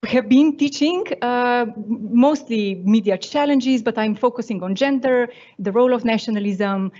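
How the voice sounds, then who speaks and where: A woman's voice: on the high side, moderate pace, mellow. Earnest, hesitant Slovenian-born woman. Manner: hesitant, many fillers, earnest. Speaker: panellist, university teacher. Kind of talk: panel discussion answers. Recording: muffled lo-fi sound.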